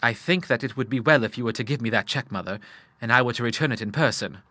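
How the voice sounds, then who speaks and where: A man's voice: mid-range voice, quick pace, bright, forceful tone, dry recording. A man voicing an earnest young businessman in money trouble who speaks formally and with feeling.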